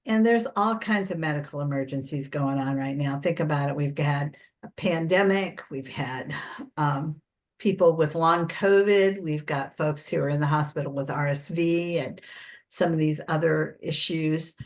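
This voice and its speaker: An older American woman with a low-pitched voice leads an informal workshop. She explains in a conversational way, doubling back to correct herself as she goes. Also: normal speed. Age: 60s or older. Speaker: preparedness coach.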